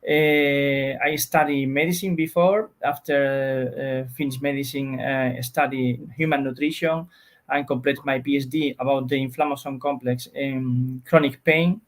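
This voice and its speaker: A man's voice: medium pitch, steady tone, a little slow. A man with a Spanish accent explains research as an interview guest, searching for words in non-native English.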